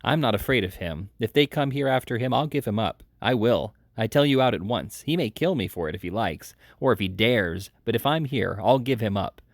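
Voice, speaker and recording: A man's voice: takes it slowly, few pauses, a little mellow, no room sound, studio quality. A man reading an audiobook, voicing a frantic teenage boy who shrieks for help against a murderer. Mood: defiant. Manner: shrieking, high-pitched cries.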